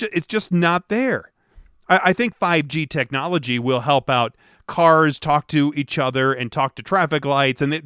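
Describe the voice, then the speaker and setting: A man hosting a podcast in a casual, rambling way, full of restarts and fillers. His voice is smooth and clear, recorded close and dry with no room sound. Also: on the high side, a bit bright, no echo. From Detroit, USA. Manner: chatty, rambling with many restarts. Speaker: podcast host. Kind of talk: casual interview chat.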